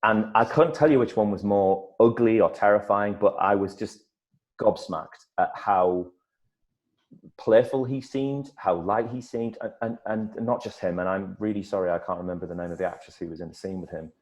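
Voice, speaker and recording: A middle-aged man, an actor, telling stories in a casual interview, lively and full of restarts. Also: on the low side, moderate pace. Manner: animated storyteller, many restarts and repeated words. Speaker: actor guest.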